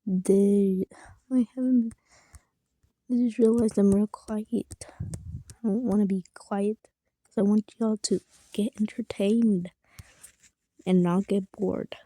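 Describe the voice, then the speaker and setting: Soft-spoken whispery young woman. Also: medium pitch, fairly even intonation, slow pace, long pauses, no echo, rough recording. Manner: soft, often whispering, self-deprecating. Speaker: drawing video creator. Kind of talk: casual chat while drawing.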